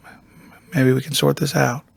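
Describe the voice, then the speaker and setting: An actor playing a weary, eccentric, soft-spoken intellectual man in a video game, halting and musing, with a raspy, breathy voice, often repeating himself. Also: level tone, no echo, studio quality. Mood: awkward, civil. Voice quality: raspy, breathy, gravelly low voice. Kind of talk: video game character dialogue.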